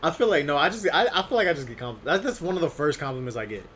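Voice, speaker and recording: A young man with a deep voice whose pitch moves a lot as he talks. He chats in a relaxed way, picks up speed in bursts, and laughs often. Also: very fast, a little hiss or hum. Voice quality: deeper voice than his co-host. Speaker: podcast co-host. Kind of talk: casual chat.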